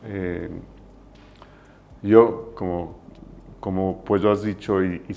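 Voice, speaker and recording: A Mexican man in his forties with a Mexican accent, a guest telling his story in a relaxed, self-deprecating way. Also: low voice, takes it slowly, dull audio. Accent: Mexican Spanish. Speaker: entrepreneur guest. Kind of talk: casual interview storytelling.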